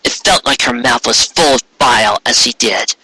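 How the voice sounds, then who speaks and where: A man narrating a story in an expressive, dramatic storybook style, with the odd aside. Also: mid-range voice, moderate pace, breathy edge, no echo. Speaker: fan fiction reader.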